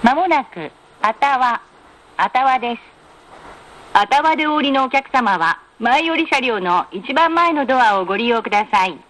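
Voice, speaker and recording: A woman reading scripted in-car train announcements in a formal, polite, measured way with careful enunciation. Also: a little high, animated, fairly bright tone. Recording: noisy train announcement recording.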